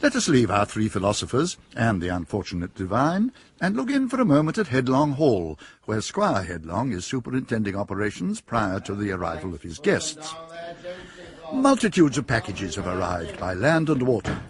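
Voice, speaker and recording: A man's voice: lowish voice, huge pitch swings, normal speed, crowd noise. A man narrating a radio drama in a dry, wry storytelling manner.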